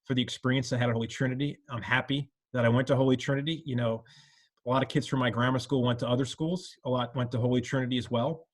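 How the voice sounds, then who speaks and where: A middle-aged man from New York speaks reflectively, looking back with thanks and a bit of apology. He talks in long, rambling stretches full of fillers, at an ordinary male pitch. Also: fairly even intonation, quick pace. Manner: earnest, rambling with many "you know" fillers. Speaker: alumnus panelist. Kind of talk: reflective conversational talk.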